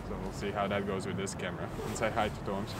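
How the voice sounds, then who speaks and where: A man's voice: fairly low pitch, flat delivery, fast, very noisy. A young man from Latvia, chatting casually and joking through a travel vlog with a few swear words. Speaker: vlogger.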